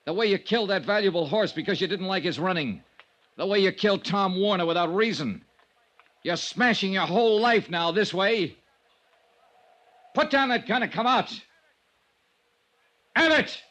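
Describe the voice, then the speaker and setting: An American actor playing a steady, hard-boiled insurance investigator in a vintage radio noir drama. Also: male voice, high voice, moderate pace, pauses often. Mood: urgent, firm. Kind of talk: 1950s radio noir acting and narration.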